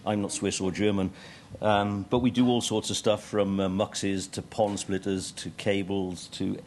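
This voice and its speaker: A man's voice: on the low side, level tone, measured pace, a little mellow, a little hiss or hum. A middle-aged man presenting a technical talk at a conference, with dry humour and frequent ums and uhs. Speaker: conference speaker. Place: conference hall stage.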